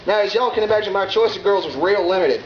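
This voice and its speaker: High-voiced young Texan man in a hall. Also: quick pace. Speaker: club speaker. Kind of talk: comedic prepared speech to an audience. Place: large hall with an audience. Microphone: camera from the room. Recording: roomy, muffled, noisy hall camera recording.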